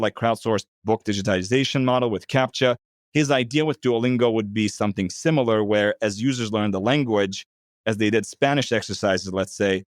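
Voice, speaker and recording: A man in his thirties from Turkey, a product executive calmly explaining his work in an interview. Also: on the low side, dry recording, studio quality. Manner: measured, explanatory. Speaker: head of product, guest. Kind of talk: interview answers.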